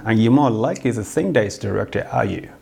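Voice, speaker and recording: A man's voice: fairly low pitch, expressive, a little fast, vocal fry, darkish tone. A man hosting his own podcast, giving an earnest, explanatory solo talk straight to his listeners. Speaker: podcast host.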